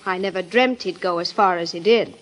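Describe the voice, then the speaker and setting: An actress playing a cool, scheming woman client in an old-time radio drama, poised and deliberate. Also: lowish voice, close to an everyday voice. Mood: cool, accusing. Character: cool, scheming female client accusing the detective.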